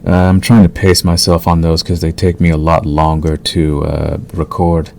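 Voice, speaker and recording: A man's voice: low-pitched, monotone, normal speed, gravelly, darkish tone. A man hosting his audio channel, chatting to listeners in an informal, self-effacing way with some swearing. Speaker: audio roleplay creator, channel host. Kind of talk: casual outro chat to listeners.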